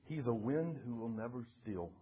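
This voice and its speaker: A man's voice: a little high, big pitch swings, unhurried, slightly rough, lo-fi sound, pitched above the performer's own voice, full character voice. A man voicing quarrelling animals and forces of nature in a storybook, emphatic and declamatory. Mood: earnest.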